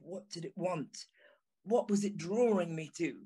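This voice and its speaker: Audio drama lead: haunted ex-journalist signalman, higher male voice, slow and weighty delivery, grave, tormented intensity. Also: mellow, husky. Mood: haunted, sombre. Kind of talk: dramatic first-person audio drama narration.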